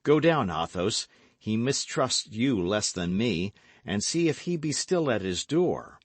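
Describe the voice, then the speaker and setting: A man voicing an eager, brash young gentleman in an audiobook, speaking with emphatic, commanding and affectionate delivery. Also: lowish voice, very animated, a little slow, no echo, pitched above the performer's own voice. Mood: excited, brisk. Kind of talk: audiobook character acting.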